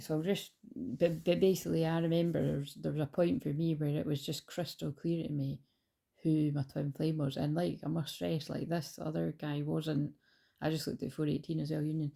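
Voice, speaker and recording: A woman's voice: low-pitched, level tone, brisk, vocal fry, warm tone, slightly rough. A woman hosting a spiritual channel, chatting casually into a phone she holds at home, rambling with many fillers and restarts. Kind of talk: casual talk to camera. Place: at home beside her dog. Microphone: phone held in hand.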